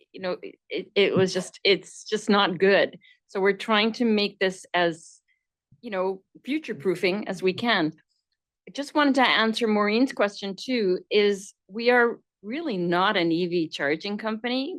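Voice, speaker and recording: Chatty woman co-founder on a panel. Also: mid-range voice. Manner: chatty, anecdotal, then businesslike. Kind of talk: panel talk with personal anecdote. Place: conference room with audience.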